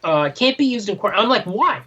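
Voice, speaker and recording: A man with a notably high voice co-hosts a casual talk podcast. He works through his thoughts aloud, questioning and restarting as he goes. The sound is a little dull and lo-fi. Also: very animated, a little fast, bright, forceful tone, slightly rough. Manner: questioning, thinking aloud in broken phrases. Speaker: podcast co-host. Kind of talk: casual discussion of a graphic novel.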